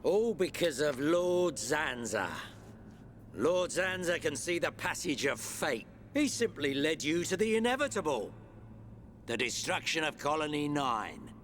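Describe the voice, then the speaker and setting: A man's voice: on the high side, lively intonation, a little slow, a bit bright, a little hiss or hum. A man voicing a mocking, callous traitor in a video game, sneering and taunting. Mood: mocking, gloating. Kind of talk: video game cutscene acting.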